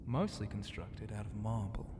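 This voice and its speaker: A man playing a flirty, self-assured ancient ocean god in an ASMR roleplay, teasing and grandiose, with a breathy voice that often drops into a whisper. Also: lowish voice, natural voice. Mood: relaxed, boastful. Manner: slow, teasing and grandiose, with hesitant hums and murmurs. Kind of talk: ASMR roleplay, intimate half-whispered delivery. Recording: long reverb, clear background ambient noise.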